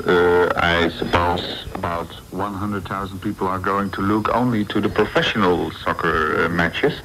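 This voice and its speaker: Low-voiced Dutch-accented man, halting. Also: a bit bright. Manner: halting, non-native phrasing. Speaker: sports editor, interviewee. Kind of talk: unscripted radio interview. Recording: noisy 1980s shortwave radio recording.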